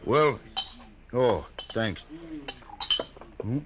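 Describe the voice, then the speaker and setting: A man playing a rough, nervous frontier hide skinner in an old radio western, with a folksy drawl. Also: mid-range voice, animated, drawn-out words, highs cut off, a crowd in the background. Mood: wary. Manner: folksy drawl, eager and wary. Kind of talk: 1950s radio western acting.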